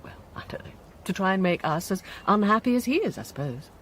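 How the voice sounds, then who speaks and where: An actress playing a middle-aged British wife in a radio drama, teasing and affectionate but quick to dismiss things. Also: female voice, mid-range voice, sing-song, a little hiss or hum, lightly acted. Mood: dismissive, reassuring. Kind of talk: naturalistic radio drama acting.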